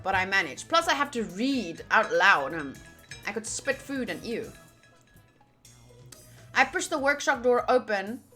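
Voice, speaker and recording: A woman's voice: on the high side, sharp tone. A woman game streamer reading game text aloud and chatting informally with casual asides.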